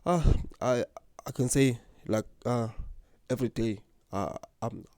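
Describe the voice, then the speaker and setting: A man's voice: medium pitch, measured pace, darkish tone, no echo. A young man from South Africa who stutters, talking earnestly and unhurriedly about himself as the host of his own channel. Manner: stutters, with repeated words and blocks. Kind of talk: personal vlog talk to camera.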